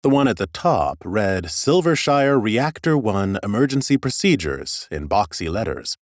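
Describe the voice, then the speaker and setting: A man reading expressive first-person scripted narration as an audiobook narrator. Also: fairly low pitch, unhurried, dry recording, clean studio sound.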